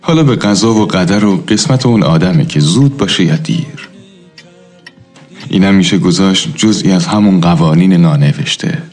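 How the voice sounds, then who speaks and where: A man playing a reflective, philosophical narrator who tells a radio drama in a measured, literary manner. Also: deep voice, darkish tone, lightly acted. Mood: pensive. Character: reflective, philosophical storyteller of an old neighbourhood. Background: faint music.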